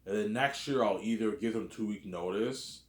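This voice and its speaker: A man's voice: fairly low pitch, normal speed. A man in his thirties talking in a rambling personal monologue, repeating himself and tagging on filler words. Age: 30s. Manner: repetitive, keeps adding "obviously" and "man". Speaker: vlogger. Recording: roomy echo.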